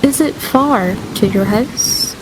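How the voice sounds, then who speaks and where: A woman's voice: on the high side, slow pace. A woman playing a tabletop roleplaying game, speaking for her character and narrating her actions in third person. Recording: faint rain ambience noise.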